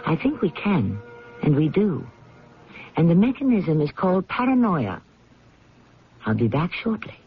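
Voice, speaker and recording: A man hosting an old-time radio drama with measured, formal, philosophical scripted narration. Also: a little high, wildly swooping pitch, slightly rough, faint music behind. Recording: dull old radio recording.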